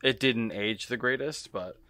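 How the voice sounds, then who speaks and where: A man co-hosting a podcast in casual chat, talking in a halting, searching way. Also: mid-range voice, bright, forceful tone, clean tone, no room sound. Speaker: podcast co-host.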